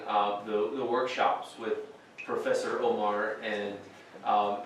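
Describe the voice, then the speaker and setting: A man reads a translated account in a plain, measured voice, with the odd filler. The sound is very echoey and distant, with a faint hiss behind him. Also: mid-range voice. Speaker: voice-over interpreter. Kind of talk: translated voice-over.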